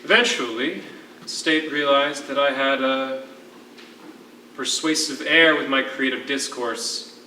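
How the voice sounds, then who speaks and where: An actor playing a lyrical, wistful former poetry teacher held as a prisoner, speaking with theatrical eloquence in a stage play. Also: male voice, mid-range voice, pushed and bright. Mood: gentle, reflective. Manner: eloquent, theatrical, measured storytelling. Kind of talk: live one-act stage play. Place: theatre stage, recorded from a distance. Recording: echoey, distant stage recording with faint noise.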